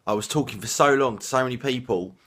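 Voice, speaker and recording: A man with a hoarse, croaky voice, chatting casually in a rambling vlog with lots of filler sounds. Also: mid-range voice, fast talker, fairly bright tone. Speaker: vlogger.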